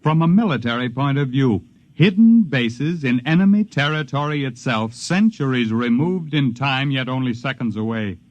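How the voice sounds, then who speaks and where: An actor playing a stubborn, wistful retired older scientist, earnest and insistent but worn down, in 1950s radio drama style, on a dull lo-fi 1950s radio recording. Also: male voice, mid-range voice, animated, moderate pace, dry recording, lightly acted. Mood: fervent.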